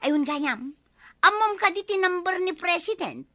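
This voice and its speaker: Boastful village socialite female actor: sharp, high voice, self-important, radio comedy delivery. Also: expressive, unhurried, dry recording, clean studio sound, full character voice. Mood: smug. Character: boastful village woman bragging of important guests. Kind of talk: Ilocano radio comedy drama acting.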